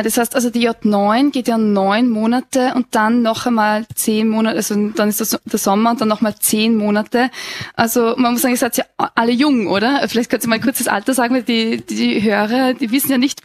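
A woman's voice: on the high side, fairly even intonation, brisk, no room sound. A woman presenting a radio show, interviewing young guests in a bookshop café with a conversational, questioning manner and frequent fillers.

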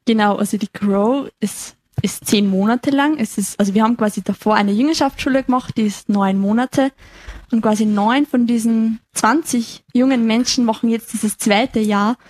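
A woman in her twenties from Lower Austria with an Austrian accent, a student guest answering interview questions in an eager, chatty way full of fillers. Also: moderate pace, darkish tone, no room sound. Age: 20s. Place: bookshop café.

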